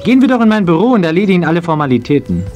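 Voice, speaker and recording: Courteous, high-pitched manager. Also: male voice, sing-song, rapid-fire, a little mellow, soft background music. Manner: courteous, formal. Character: polite, brisk male animal-society manager. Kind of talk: German children's radio play acting.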